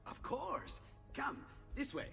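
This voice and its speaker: An actor playing an eager, absent-minded young Renaissance inventor with an Italian accent in a video game cutscene, exclaiming and muttering to himself. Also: male voice, very high-pitched, wildly swooping pitch, takes it slowly, creaky, sharp tone, exaggerated voice. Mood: delighted, flustered. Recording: reverberant room with music behind.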